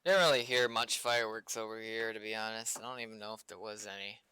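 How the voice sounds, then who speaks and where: A man with a fairly low, very bright and forceful voice mutters casual commentary as he works at his computer. He talks to himself in a frustrated, sweary way. The sound is close and dry. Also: fairly even intonation, fast, clean tone, no echo. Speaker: livestreaming game server developer. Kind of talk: casual muttered commentary while coding on stream.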